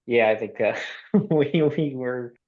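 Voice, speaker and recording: A man introducing himself on a video call in an easygoing, soft-spoken way. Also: mid-range voice, a little slow. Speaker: developer relations manager. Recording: dull lo-fi call audio.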